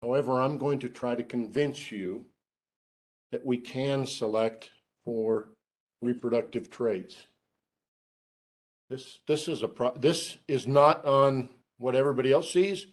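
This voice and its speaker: A middle-aged man giving a seminar lecture to students, who calls his own voice monotone and drops dry joking asides while he asks the room questions. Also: medium pitch, unhurried, pauses often. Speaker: research scientist giving a seminar.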